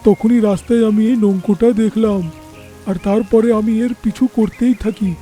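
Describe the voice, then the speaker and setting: An earnest little male bird from a cartoon fable, played by a man. His voice is high yet very muted and mellow. He sounds humbled and sincere, with faint music behind. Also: fairly even intonation, moderate pace, lightly acted. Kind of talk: animated moral story dialogue.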